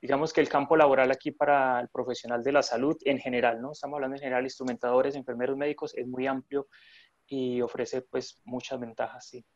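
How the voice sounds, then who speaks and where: A man's voice: medium pitch, flat delivery, fast talker, no echo, lo-fi sound. A man from Colombia, a nurse in his thirties, chatting in a remote interview with many fillers and restarts.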